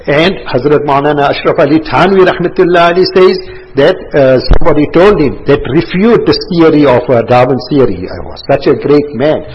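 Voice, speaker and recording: A man's voice: fairly high pitch, fairly quick, a little hiss or hum. A man in his sixties giving a religious talk to a seated gathering indoors, rambling and repetitive, full of anecdotes. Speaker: religious teacher. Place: indoor gathering room with carpet. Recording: dull lo-fi recording.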